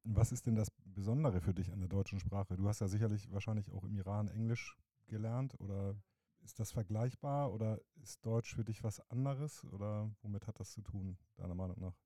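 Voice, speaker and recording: Dark-voiced male interviewer, dry sound. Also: fairly low pitch, a little fast, no room sound. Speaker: interviewer, teacher. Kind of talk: casual interview questions.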